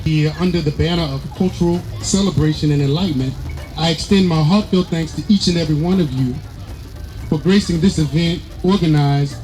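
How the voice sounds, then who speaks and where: Higher-voiced man giving a formal speech. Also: dark tone, rough recording. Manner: oratorical, repeats and stumbles over words. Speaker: event speaker. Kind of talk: formal scripted welcome speech.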